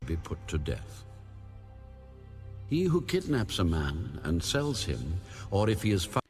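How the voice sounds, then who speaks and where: A man's voice: low-pitched, big pitch swings, a little slow, darkish tone, faint music behind. A man narrating scripture from a script in a solemn, formal reading style. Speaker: audio Bible narrator.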